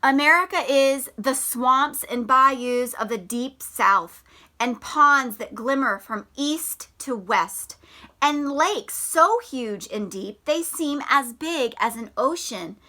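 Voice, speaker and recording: A woman teacher reading a picture book aloud to children in a deliberate, expressive way, pausing to explain and ask questions. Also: high-pitched. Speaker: elementary school teacher.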